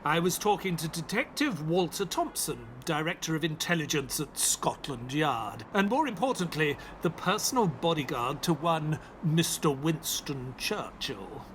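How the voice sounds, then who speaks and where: A man playing a polite, inquisitive English doctor in an audio drama, expressive and well-mannered. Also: fairly high pitch, unhurried, hardly any pauses, slight background noise. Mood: reflective, storytelling. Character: polite, inquisitive English doctor narrating his detective adventures. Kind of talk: scripted first-person audio drama narration.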